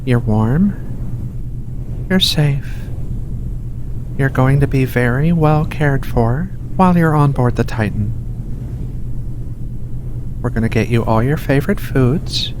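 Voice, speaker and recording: A performer voicing a gentle giant furry alien researcher in a soft, hushed, soothing ASMR roleplay. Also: natural voice. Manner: soothing, unhurried, reassuring, coaxing. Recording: steady rumbling ship ambience behind voice. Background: low engine rumble of a spaceship.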